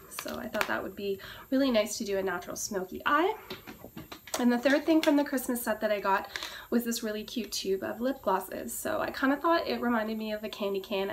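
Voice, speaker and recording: A woman with a hoarse, cracking voice she says she is losing, chatting casually through a beauty product review and often clearing her throat. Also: a little high, a little hiss or hum. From Canada. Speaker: beauty vlogger.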